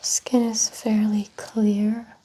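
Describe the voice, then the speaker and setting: A woman speaking softly in an ASMR medical exam roleplay, giving gentle clinical instructions as a doctor. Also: medium pitch, little pitch movement, drawn-out words, breathy edge, rough recording. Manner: soft, whispery, gently clinical instructions and remarks. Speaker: ASMR performer playing a doctor.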